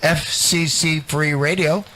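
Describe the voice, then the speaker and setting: A man's voice: fairly high pitch, fairly even intonation, takes it slowly, pushed and bright. A man in his late fifties hosting a radio show with blunt, teasing, sweary casual banter. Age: late 50s. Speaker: radio host.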